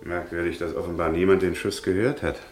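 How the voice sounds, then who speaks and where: Deep male actor as junior detective, radio crime drama; deferential, clipped reports, faintly noisy recording. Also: level tone, fairly quick, lightly acted. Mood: businesslike, puzzled. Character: observant, deferential male police assistant at work. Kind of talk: German radio crime play acting.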